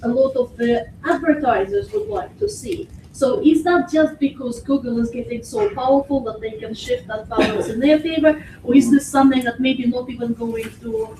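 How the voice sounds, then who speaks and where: A woman's voice: sits high, lively intonation, moderate pace, few pauses, warm tone. A woman in the audience asking a question politely and hesitantly. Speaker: audience member. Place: hotel conference hall. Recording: echoey hall from far off, faint noise.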